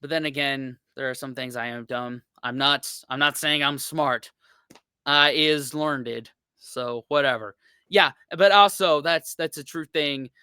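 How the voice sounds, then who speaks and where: A man with a drawl, his voice bright and crisp, with a dry, close sound. He rambles in a laid-back way, piling on "like" and "uh" as he reacts, and signs off like a regular host. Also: medium pitch, a little slow, no room sound. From United States. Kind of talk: casual reaction commentary.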